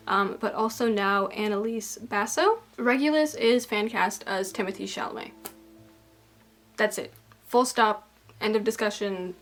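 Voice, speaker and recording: A young woman rambling through a casual video essay, self-deprecating, her voice a little raspy and tired. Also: on the high side, fairly bright tone.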